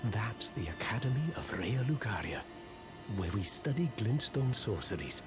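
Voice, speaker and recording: A humble young apprentice sorcerer from a fantasy game. His pitch rises and dips freely as he talks in an apologetic, hesitant way, with a wistful, self-deprecating air. Music plays behind him. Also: sing-song, moderate pace. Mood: wistful, resigned. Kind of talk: video game character dialogue.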